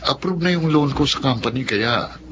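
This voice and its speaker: A man's voice: medium pitch, animated, moderate pace, gravelly, a bit bright, slight background noise. Proud young Filipino man, muffled broadcast. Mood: casual. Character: young free-spending man, evasive and proud. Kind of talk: Filipino radio drama acting and narration.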